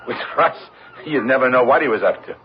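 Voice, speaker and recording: A man's voice: mid-range voice, lively intonation, fairly quick, lightly acted. On a muffled, hissy old radio drama, a man acts a world-weary older boat club regular. His voice swoops up and down as he draws out knowing, folksy remarks. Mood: knowing, ominous.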